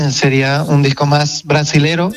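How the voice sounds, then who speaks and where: A man's voice: on the high side, steady tone, measured pace, faint music behind. A man from Portugal with a Portuguese accent, a singer chatting as a guest in a radio interview, often hesitating and searching for words. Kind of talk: interview chat.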